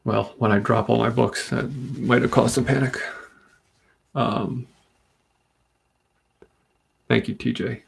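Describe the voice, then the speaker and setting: A man from Rhode Island hosting a live comic book stream, chatting calmly and steadily while reading comments. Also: medium pitch, creaky, husky. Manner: calm, steady, unhurried. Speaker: livestream host.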